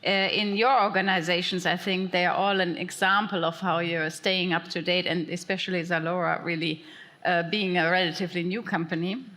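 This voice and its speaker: Female panel moderator, upbeat and brisk, bright tone, reverberant conference hall. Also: lowish voice, fairly even intonation.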